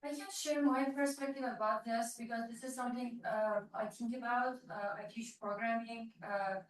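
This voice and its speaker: A high-pitched woman with an Iranian accent answers on a panel as a programming professor. She hesitates often, filling pauses with "uh" and "like". Her voice comes from far off in a very echoey hall. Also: steady tone, slight background noise. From Iran. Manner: hesitant, many fillers. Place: large event hall.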